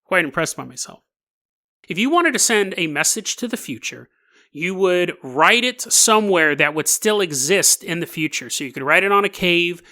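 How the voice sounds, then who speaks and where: A male podcast host with a high, bright, forceful voice. He tells conspiracy stories in a casual, self-amused way. He leans on key words and repeats himself for emphasis. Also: animated, normal speed. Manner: jokey, self-amused, chuckles through his points. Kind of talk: casual solo storytelling about conspiracy theories.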